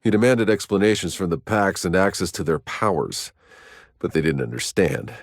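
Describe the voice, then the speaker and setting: A deep-voiced, hardened soldier. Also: male voice, expressive, moderate pace, no room sound. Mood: somber, recounting. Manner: terse, dry, sardonic. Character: hardened male soldier, weary, sardonic sci-fi survivor. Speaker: video game protagonist. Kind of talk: scripted game voice lines.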